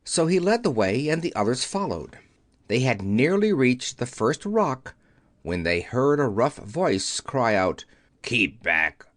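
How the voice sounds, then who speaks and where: A man's voice: mid-range voice, sing-song, measured pace, no echo, clean studio sound. A man narrating a children's audiobook, telling the story as a scripted reading.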